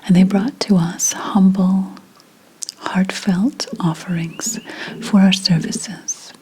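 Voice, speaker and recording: Slow whispering witch, acted by a woman. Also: medium pitch, fairly even intonation, low-quality recording. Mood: solemn, wounded pride. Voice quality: breathy unvoiced whisper. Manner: deliberate, hushed, archly formal phrasing. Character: reincarnated 17th-century witch healer, proud, secretive, faintly bitter. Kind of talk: ASMR roleplay, slow whispered storytelling.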